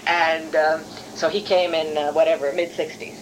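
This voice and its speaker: A woman's voice: low voice, level tone, moderate pace, music in the background, rough recording. A middle-aged woman from Hungary, a non-native English speaker, recounting her academic career in a discursive, careful, self-correcting way as an interviewed professor. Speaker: university professor being interviewed. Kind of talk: oral history interview, recollection.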